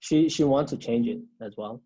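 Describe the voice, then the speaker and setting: A man in a casual professional discussion on a conference call, hesitant and halting, with a husky, gravelly voice. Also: mid-range voice, dark tone. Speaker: call participant, trainer asking about a client. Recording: dull, lo-fi call audio.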